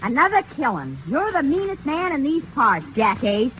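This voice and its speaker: A woman's voice: high-pitched, very animated, moderate pace. A woman playing an unimpressed Old West gambling-house companion with dry delivery in a 1940s radio sketch. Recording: tinny, noisy 1940s radio broadcast.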